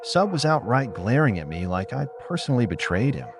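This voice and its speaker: Guy narrating an audiobook story, really animated pitch, music going behind him. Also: a little fast. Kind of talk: scripted first-person story narration.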